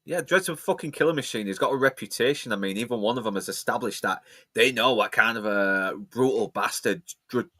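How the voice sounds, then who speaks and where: A man's voice: mid-range voice, brisk, pushed and bright, dry recording, clean studio sound. A Scottish man hosting a casual movie watch-along podcast, talking bluntly and swearing freely.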